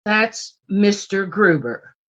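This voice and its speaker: A woman's voice: mid-range voice, expressive, takes it slowly, fairly bright tone. A distressed young housekeeper in a Western radio play. Her apologetic voice rises and falls expressively, in a slightly muffled, lo-fi recording. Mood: pleading. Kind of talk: Western radio drama acting.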